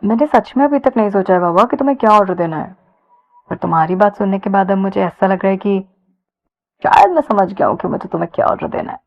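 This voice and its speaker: A woman's voice: mid-range voice, fast talker, gravelly, lightly acted. A woman voicing a sly, teasing young man in a romance audio story, playful and coaxing. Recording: dull lo-fi sound.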